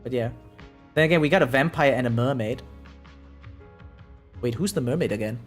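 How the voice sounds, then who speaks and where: Streamer, 30s: mid-range voice, loose chatty live commentary that rambles, soft music underneath. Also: mid-range voice, moderate pace. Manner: rambling, keeps adding "right?". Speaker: variety game streamer. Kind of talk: casual live reaction commentary. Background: faint music.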